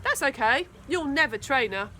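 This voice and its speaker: A big, sneering thirteen-year-old bully, acted out by a woman reading a storybook. The delivery is taunting and leering, high and bright, swooping up in pitch, with some noise behind it. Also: expressive, normal speed. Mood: gloating.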